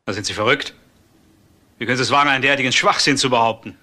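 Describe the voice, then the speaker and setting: An actor playing a haughty, ambitious, indignant suspect in a crime drama, answering with defensive bluster. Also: male voice, medium pitch, very fast, bright, forceful tone. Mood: outraged. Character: indignant, haughty, ambitious doctor of business, adult male suspect.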